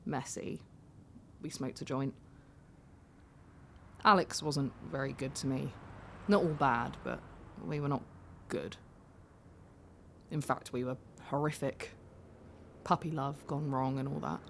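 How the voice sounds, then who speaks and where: An actress playing a candid, vulnerable young woman taxi passenger in an audio drama, confiding in a halting, self-deprecating way. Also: rumbling low, steady tone, vocal fry, a bit bright, a little hiss or hum, heavily acted. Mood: hesitant, candid.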